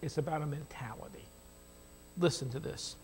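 A middle-aged American man giving a conversational sermon in an animated, emphatic way, asking rhetorical questions. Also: on the high side, normal speed, breathy edge. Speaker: preacher. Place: church stage at a lectern, indoors.

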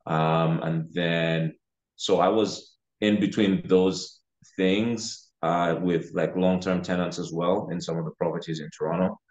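Low-voiced, even-toned man from Uganda. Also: a little slow. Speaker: podcast guest, real estate investor. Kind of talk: casual interview chat. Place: living room, on a video call. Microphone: video call, sitting back from camera. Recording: dull, lo-fi video call audio.

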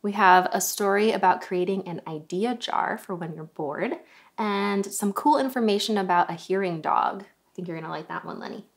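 A woman's voice: medium pitch, normal speed. A young adult woman presenting a children's story time, reading aloud and chatting in a friendly way. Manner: friendly, chatty asides to her dog. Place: living room sofa, indoors.

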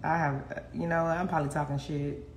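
A woman's voice: deep voice, flat delivery, moderate pace, gravelly. A young woman chatting candidly with viewers on a phone livestream from her home kitchen, laughing often and swearing freely. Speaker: livestream host. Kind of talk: casual livestream chat with viewers. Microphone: phone at arm's length. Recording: echoey kitchen with faint noise.

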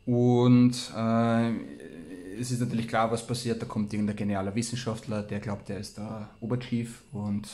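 A man's voice: on the low side, darkish tone. A man chatting casually and playfully as co-host of a reading show, rambling as he goes. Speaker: co-host who reads old science fiction aloud. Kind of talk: casual podcast chat.

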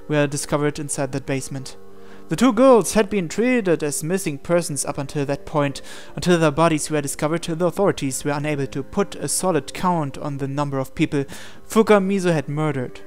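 A man reading a visual novel aloud as expressive narration, in a measured, dramatic way. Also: a little high. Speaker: gaming channel host reading aloud. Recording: voice over game music. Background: game music.

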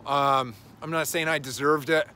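A man's voice: a little high, fairly even intonation, normal speed, pushed and bright, a little hiss or hum. A man in his thirties with a runny nose rambles profanely through a casual walking vlog, filmed on a phone at arm's length on a suburban street at dusk. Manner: rambling, profane, many uh fillers. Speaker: gym owner vlogger. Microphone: phone camera held at arm's length.

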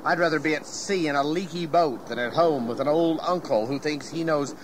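An actor playing a jovial, courteous, independent-minded sea captain in a historical audio drama. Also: male voice, fairly high pitch, fairly quick, a little hiss or hum, close to an everyday voice. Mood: jovial, wry.

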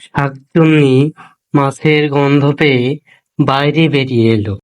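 A man narrating a scripted cartoon fairy tale in a measured storytelling manner. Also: medium pitch.

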